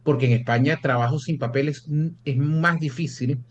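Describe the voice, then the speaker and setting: A man's voice: fairly high pitch, fairly even intonation, normal speed, lo-fi sound. A Venezuelan-born man, an immigration lawyer, explaining procedures in an interview, often repeating words as he restarts. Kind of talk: interview answers.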